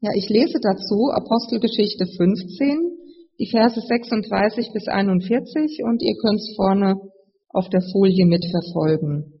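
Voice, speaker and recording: A preacher with a slight cold and a husky, gravelly voice, giving a sermon in a measured, explanatory way to a congregation. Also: medium pitch. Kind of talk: sermon with scripture reading. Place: large reverberant room, live address. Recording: muffled, long hall reverb.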